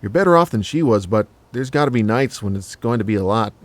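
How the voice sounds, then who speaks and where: An actor playing a cheeky, big-hearted casual lover in an audio drama, with blunt, slangy, joking talk. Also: male voice, on the low side, expressive, fast talker, no echo, natural voice. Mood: sincere.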